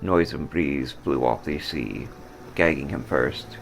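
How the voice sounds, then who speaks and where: A man narrating a scripted story dramatically, with solemn, deliberate emphasis. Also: a bass voice, vocal fry, faint music behind. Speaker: author reading own story.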